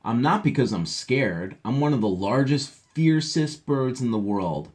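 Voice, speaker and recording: A man's voice: medium pitch, expressive, unhurried, darkish tone, close to an everyday voice. A man voicing a proud harpy eagle from a children's picture book, making firm declarations.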